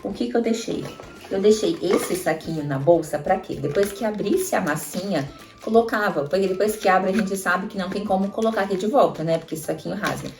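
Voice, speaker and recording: A woman's voice: medium pitch, a little fast, gravelly, a little mellow. A woman in her thirties with a Brazilian accent, a mother showing travel items in a chatty vlog and talking straight to viewers. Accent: Brazilian Portuguese. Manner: chatty, addresses viewers directly with frequent tag questions. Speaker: mother vlogger. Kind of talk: casual show-and-tell tips. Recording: echoey room, mic at a distance, faint music.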